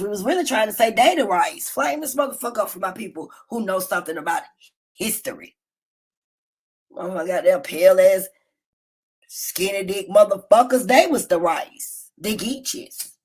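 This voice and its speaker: A woman hosting a casual livestream, telling stories in a loud, profane and animated way. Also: moderate pace, slightly rough. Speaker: livestream host. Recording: low-quality phone stream.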